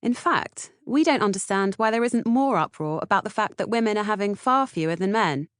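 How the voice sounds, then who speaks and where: A woman's voice: mid-range voice, expressive, fairly quick, clean tone, dry recording, studio quality. A woman with a British accent reading scripted audiobook narration. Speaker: author-narrator reading audiobook, podcast co-host.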